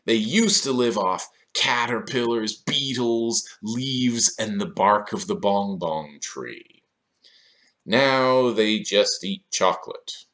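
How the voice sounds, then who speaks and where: A man's voice: on the low side, takes it slowly, a bit bright. A man in his thirties delivering scripted video essay commentary in a sardonic, questioning manner, recorded in a small room.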